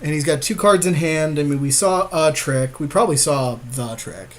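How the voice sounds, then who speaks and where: A man's voice: fairly high pitch, moderate pace. A man giving informal, conversational commentary on a card game as a podcast co-host.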